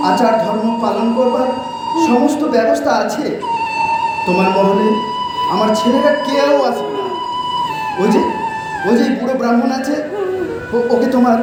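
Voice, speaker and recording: Man acting a kindly high-voiced Bengali patriarch, echoing hall. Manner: gentle, reassuring, deliberate with repeated phrases. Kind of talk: Bengali stage audio drama. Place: live stage performance in a hall. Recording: echoey hall from far off, loud music behind.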